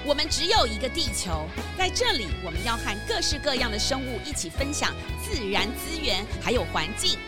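Give me a gentle woman narrator addressing children, with guitar music underneath. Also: sits high, very animated, fairly quick, hardly any pauses. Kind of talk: gentle closing message to children.

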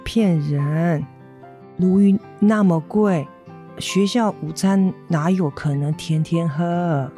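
Woman playing a slow, mellow, gentle Taiwanese mother. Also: fairly low pitch, soft background music. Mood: caring, insistent. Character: gentle, apologetic convalescing mother of a teenage boy. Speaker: amateur voice actor, schoolteacher. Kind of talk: educational radio drama dialogue.

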